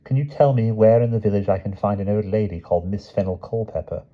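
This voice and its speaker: A male narrator voicing a calm, composed young woman with a dry, wry way of talking. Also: lowish voice, quick pace, narrow, tinny audio, close to an everyday voice.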